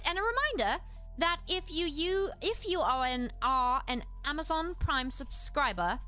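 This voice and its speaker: A woman with a very high, lively voice and a British accent hosts a livestreamed lesson. Her delivery swings widely in pitch as she explains things politely. Faint music plays behind her. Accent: British, put on. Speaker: live streamer and host giving a lesson. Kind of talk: livestreamed educational lesson with chat replies.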